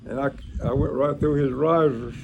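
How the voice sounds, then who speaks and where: A man's voice: mid-range voice, fairly even intonation, normal speed, narrow, tinny audio. An older man, telling stories informally in a folksy, plain-spoken, rambling way, like a grandfather recalling his service. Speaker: grandfather recounting his military past. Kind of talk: informal reminiscing, storytelling. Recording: noisy room, clear background noise.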